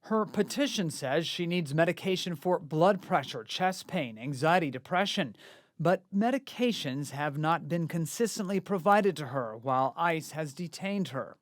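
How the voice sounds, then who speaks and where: A male news reporter narrating an investigative report in a measured, earnest way. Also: fairly high pitch, expressive, dry recording, studio quality.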